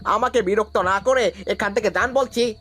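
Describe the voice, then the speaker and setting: A man playing a curt, suspicious, irritable tea-stall keeper in an animated horror story. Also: high-pitched, moderate pace, faint music behind. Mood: irritated.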